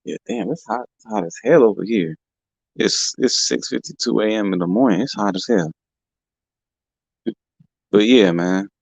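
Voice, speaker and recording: A young man hosts a livestream from home, his speech heated, slangy and full of repeated words. His voice sits low with a gravelly fry and sounds a little rough from allergies. The mic is close and the sound is dry, with no room echo. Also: normal speed, pauses often, darkish tone. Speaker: livestream host. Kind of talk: casual livestream rant. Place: home in California.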